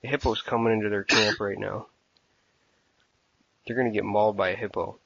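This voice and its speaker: A blunt man teases his co-host on a podcast and swears freely. His low voice is gravelly and husky. The sound is dry, close and lo-fi. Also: steady tone, brisk, a bit bright, no echo. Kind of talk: casual banter. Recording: dry, dull lo-fi podcast audio.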